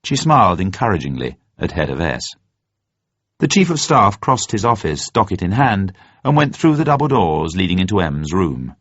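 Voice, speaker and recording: A low-voiced man narrates scripted drama with measured, formal phrasing. His pitch swings expressively from phrase to phrase. The audio is dull and slightly muffled. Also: sing-song, slightly rough, no room sound.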